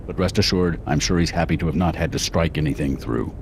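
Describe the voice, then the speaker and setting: A man's voice: a bass voice, fairly even intonation, quick pace, background noise. An actor playing a glib, brusque male government boss in a video game, breezy and dismissive. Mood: breezy praise. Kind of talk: animated video game cutscene acting.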